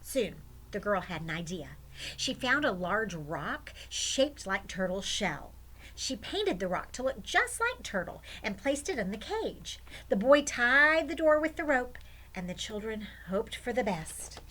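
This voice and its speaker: A woman's voice: fairly high pitch, big pitch swings, unhurried, pushed and bright, low-quality recording. A woman storyteller reading expressively to children, with chatty asides.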